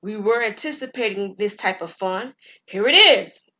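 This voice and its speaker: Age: young adult. Figure: a woman playing a calm, matter-of-fact college girl. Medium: audio drama. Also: medium pitch, normal speed, highs cut off. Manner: steady, dry, reassuring.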